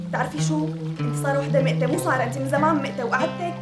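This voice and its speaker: A woman with a Levantine accent playing a sharp-tongued neighbor who teases and scolds in a stream of chatter. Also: sits high, very fast, far from the mic, lightly acted. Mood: nagging. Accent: Levantine Arabic. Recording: echoey room with loud music.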